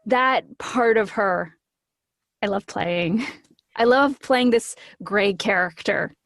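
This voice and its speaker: A woman speaking as an interview guest, telling things in an animated, casual, storytelling way. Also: mid-range voice, takes it slowly, vocal fry, no room sound, clean studio sound.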